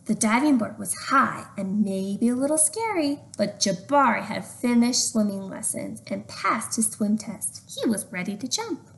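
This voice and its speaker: A young woman doing an expressive read-aloud for children, with chatty questions to her listeners. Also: on the high side, unhurried, creaky. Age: young adult. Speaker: storyteller reading to children. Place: open living room at home. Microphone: webcam at arm's length. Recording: slightly noisy home webcam recording.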